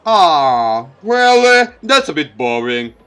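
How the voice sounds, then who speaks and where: A man voicing a teasing, jokey male teacher in a visual novel, with a smug, playful, loud manner. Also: on the high side, big pitch swings, slow, clear, resonant tone, soft background music, full character voice.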